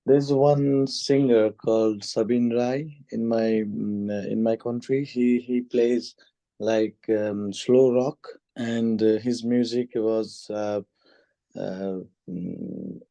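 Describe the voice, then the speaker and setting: Hesitant Nepali man, slow and mellow. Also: lowish voice, dry recording. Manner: hesitant, many fillers and restarts. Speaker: test candidate. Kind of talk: mock speaking exam answers.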